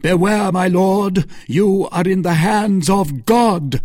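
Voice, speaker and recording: A man's voice: sits high, slow pace, darkish tone, pitched above the performer's own voice. A man voicing a righteous male zealot, vehement and declamatory, in a dramatic audiobook. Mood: vehement, demanding. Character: fervent, righteous young male zealot and avenger. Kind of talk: dramatic audiobook character acting.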